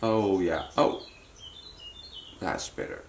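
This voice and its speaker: A man's voice: low-pitched, lively intonation, slow pace, vocal fry, darkish tone, a little hiss or hum. A man giving a casual, unscripted hands-on repair demonstration, thinking aloud as he works as the host. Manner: muttering to himself while working, thinking aloud. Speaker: repair technician and channel host.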